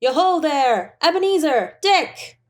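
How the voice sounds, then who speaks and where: Woman putting on a jovial, hearty old gentleman, raised pitch. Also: lively intonation, slow pace. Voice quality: oily, rich, jovial. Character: jolly fat old gentleman, hearty generous boss. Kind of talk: storybook character voice.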